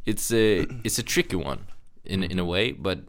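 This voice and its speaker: A man from Sweden speaks in a casual podcast chat. His voice is notably low. His delivery is informal and conversational. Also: expressive, moderate pace. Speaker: podcast participant.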